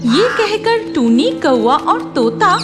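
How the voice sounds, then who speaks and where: A woman narrates a scripted cartoon story in a high voice. Her intonation swings widely while the pace stays slow. Music plays clearly behind her. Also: very animated.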